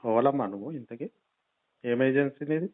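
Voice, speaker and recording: A man's voice: medium pitch, a little fast. A man on a phone call, answering a debt collector in a curt, stubborn way. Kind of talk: phone argument. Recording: muffled, narrow-band telephone line recording.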